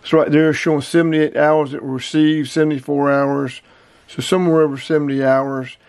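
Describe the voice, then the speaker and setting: Plainspoken middle-aged man, slightly high voice. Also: level tone, normal speed, darkish tone, dry recording. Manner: plainspoken, rambling, repeats numbers. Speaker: outdoors and radio hobby vlogger. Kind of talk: casual gear demo and review. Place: small indoor room, gear shelves behind.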